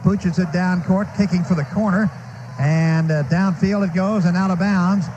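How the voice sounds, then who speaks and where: High-voiced American football radio announcer, man. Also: steady tone, normal speed, muffled recording. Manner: short clipped phrases calling action as it happens. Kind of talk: live American football play-by-play commentary. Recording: noisy archival radio sports broadcast, stadium ambience.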